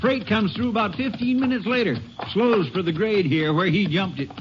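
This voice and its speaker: A male actor as a folksy, easygoing Texas sheriff in an old American radio drama. His voice is high for a man and plainspoken, heard through a muffled recording with clear noise. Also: moderate pace, lightly acted. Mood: curious, conversational.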